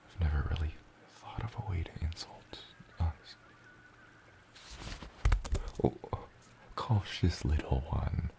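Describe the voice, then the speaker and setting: Male performer as proud dragon dad, gravelly, slow, breathy half-whispers, tender and softly playful, ASMR roleplay. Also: very deep voice, heavily acted. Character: gentle proud old dragon father coaching his hatchling.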